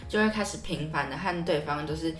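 A woman's voice: low-pitched, fairly quick, fairly bright tone. A young woman with a Taiwanese Mandarin accent co-hosting a podcast in casual conversation, recorded with a roomy echo. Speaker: podcast co-host.

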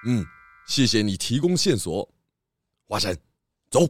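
A man's voice: fairly low pitch, very animated, unhurried, no room sound, studio quality, faint music behind. A man playing an inquisitive, decisive pig detective who muses and questions aloud in a children's audio drama. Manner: musing, questioning, hesitating aloud.

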